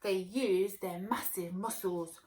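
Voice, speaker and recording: A woman teacher talking to young children and reading them a story aloud in an expressive way. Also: mid-range voice, slow pace. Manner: expressive, addressing small children. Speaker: early years teacher.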